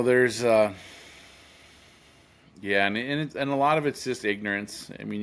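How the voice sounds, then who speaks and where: A fifty-year-old man talking casually on a podcast, his voice sitting fairly low. He rambles through thoughts with lots of "um" and "you know", restarting sentences, earnest and matter-of-fact throughout. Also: clear, resonant tone. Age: 50s. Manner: rambling, many fillers and restarts. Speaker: podcast co-host. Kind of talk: casual chat.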